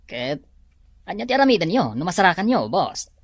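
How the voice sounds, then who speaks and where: An actress plays a questioning underling in an audio drama. The delivery is very animated, swinging widely in pitch, with a dry, close sound. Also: female voice, brisk, breathy edge, no room sound, heavily acted. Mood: puzzled. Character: questioning underling reporting to a boss. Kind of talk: Ilocano audio drama acting.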